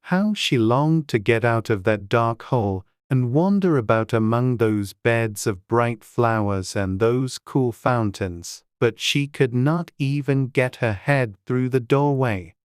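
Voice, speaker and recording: A man's voice: mid-range voice, takes it slowly, few pauses, smooth, no echo, clean studio sound. A man reading scripted storybook narration as an audiobook narrator.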